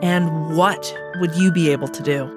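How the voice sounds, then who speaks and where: A woman's voice: sits low, steady tone, normal speed, over music, close to an everyday voice. A woman playing a stern, bitter wolf aristocrat in a visual novel, speaking in a curt, formal, dismissive way. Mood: curt, scornful. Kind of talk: visual novel character acting.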